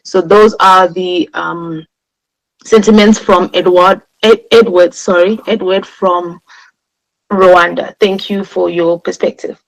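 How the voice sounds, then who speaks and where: A woman moderating an online debate in an informal manner, often correcting herself with quick apologies. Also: lowish voice, steady tone, poor audio.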